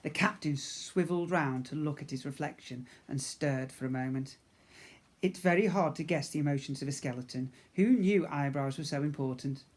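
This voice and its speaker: A man reading a children's story aloud in an expressive narrating style, as a teacher would for young pupils. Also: a little high, moderate pace.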